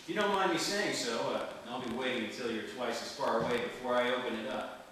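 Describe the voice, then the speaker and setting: An actor playing a wry, smooth-talking hardboiled private detective in the manner of an old radio serial. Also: male voice, mid-range voice, fast, fairly bright tone, natural voice. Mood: wry, businesslike. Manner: wry, flirtatious, world-weary patter. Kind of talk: 1940s detective radio serial acting, noir narration. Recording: roomy distant hall recording, faint noise.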